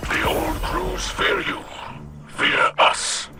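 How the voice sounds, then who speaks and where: An actor voicing a grave, fierce yet honorable alien pirate captain in a video game, with solemn formal speech and a breathy growl. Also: male voice, very slow, very noisy. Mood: stern, menacing. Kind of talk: video game cutscene acting.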